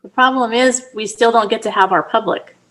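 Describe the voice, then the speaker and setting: A woman mayor with a soft voice that does not carry, chairing a council meeting in a plain, direct way. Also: fairly high pitch, a little fast.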